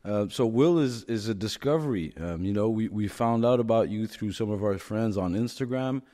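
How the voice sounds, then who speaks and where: A man's voice: lowish voice, moderate pace, mellow, big-room sound. A man hosting a podcast, friendly and encouraging toward his guest. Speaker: podcast host. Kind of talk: podcast interviewing.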